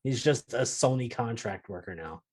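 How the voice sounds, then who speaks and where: A man's voice: medium pitch, lively intonation, moderate pace, darkish tone, husky. A man co-hosting a podcast in casual, unscripted conversation. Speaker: show co-host.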